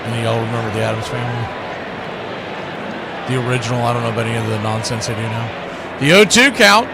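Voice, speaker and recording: A man's voice: medium pitch, fast talker, a loud crowd, very noisy. A man calling baseball play-by-play as a radio host, folksy and showy, with stock catchphrases and chatty asides to listeners.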